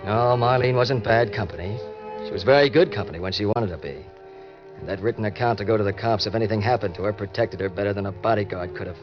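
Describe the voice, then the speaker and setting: An actor playing a cynical, murderous schemer with a clipped, slangy, hard-boiled manner in an old-time radio crime drama. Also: male voice, on the low side, quick pace, natural voice. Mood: cynical, tense. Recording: dull vintage radio recording, music.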